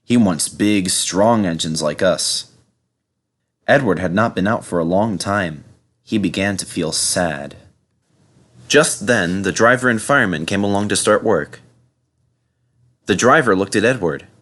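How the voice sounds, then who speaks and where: A man's voice: on the low side, moderate pace, long pauses, fairly bright tone. A man narrating in the manner of a children's storybook reader. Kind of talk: storybook narration and spoken video introduction.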